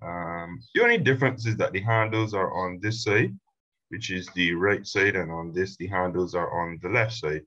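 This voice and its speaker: Low-voiced man explaining a software tutorial. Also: moderate pace, dull audio. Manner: relaxed step-by-step explaining with fillers. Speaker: instructor. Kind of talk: screen-recorded software tutorial.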